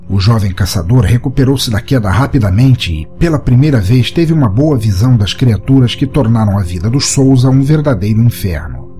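Male narrator for audio short fiction: medium pitch, dark soft tone, measured dramatic read, music bed. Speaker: podcast narrator. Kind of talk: scripted short-story narration. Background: music under the voice.

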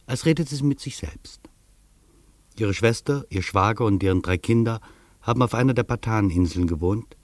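A man plays a reflective traveller telling his own story in a literary radio drama. His voice sits fairly low and is recorded dry and close. The delivery is sober and measured. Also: darkish tone, no echo, close to an everyday voice. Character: reflective male traveller recounting his stay in postwar Tokyo. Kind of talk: scripted literary radio play narration.

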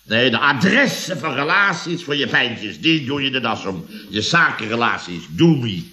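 A man's voice: medium pitch, very animated, moderate pace, crowd noise, close to an everyday voice. An actor playing a blustery, self-pitying middle-aged building contractor in a radio comedy, flustered, stammering and repeating himself as he complains. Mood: pained grumbling.

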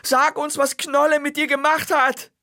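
A man voicing a scared, excited young boy who shouts and stammers in a high voice. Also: normal speed, no room sound, studio quality, exaggerated voice. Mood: urgent.

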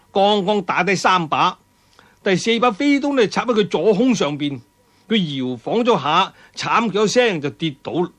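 High-pitched animated Cantonese male storyteller. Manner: dramatic, emphatic delivery with exclamations and sound words. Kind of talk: traditional Cantonese storytelling of a martial arts novel.

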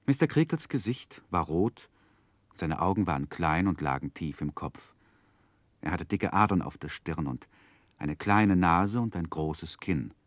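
Deep-voiced, reflective narrator. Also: male voice, expressive, measured pace, no room sound. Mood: descriptive. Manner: literary, reflective. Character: grown man recalling his sorrowful boyhood. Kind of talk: 1960s German radio drama narration.

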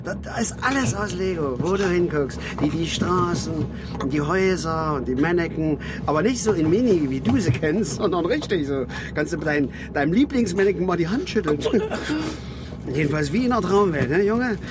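A high-pitched, excitable working-class dad acted by a man in a naturalistic radio play. He rambles in slang, by turns coaxing and bossy, over clear car noise. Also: moderate pace, close to an everyday voice. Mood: eager, coaxing. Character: excitable working-class father, coaxing his son, bossing mates. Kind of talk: naturalistic radio drama acting.

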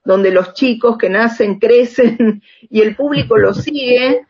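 A woman's voice: fairly high pitch, takes it slowly, a little mellow, slightly rough, dull audio. An Argentine woman speaking reflectively as an interviewed author and researcher, often checking in with short rhetorical tags.

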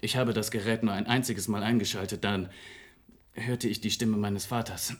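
An actor in a German radio play playing a polite, formal cellist who speaks with hesitant courtesy. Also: male voice, lowish voice, fairly even intonation, moderate pace, natural voice. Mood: wistful, hesitant. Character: polite, hesitant cellist, grieving son, unworldly with technology.

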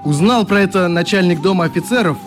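An actor playing a friendly young youth leader in a radio drama, telling stories in a chatty, expressive way with a wistful streak. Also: male voice, sits high, fast, over music, natural voice. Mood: animated reminiscing. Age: young adult. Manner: chatty, expressive, acts out remembered exchanges. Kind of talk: radio drama, reminiscing dialogue.

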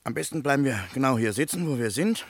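An actor playing a grumbling, bossy lost soldier in a radio play, with coarse, needling talk. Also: male voice, medium pitch, expressive, quick pace, no room sound. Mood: insistent. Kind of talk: German radio play dialogue.

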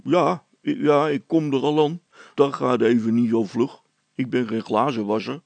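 A man's voice: mid-range voice, fairly even intonation, moderate pace, creaky, a little mellow, no room sound. A man voicing a reluctant, wry village policeman in an audiobook story, with a folksy, grumbling way of talking.

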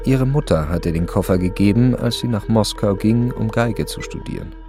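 A man acting as detached storyteller in a radio drama. His voice is fairly low and creaky, with dry, matter-of-fact literary phrasing over music. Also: normal speed, close to an everyday voice. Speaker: narrator.

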